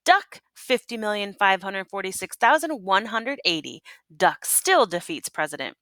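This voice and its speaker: Female storybook reader, teacher type, lively swooping intonation, questioning asides, dry recording. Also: mid-range voice, normal speed, no room sound. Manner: teacherly, prompting listeners with questions. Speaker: teacher reading a picture book aloud to children. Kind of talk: expressive read-aloud storytelling with asides and questions.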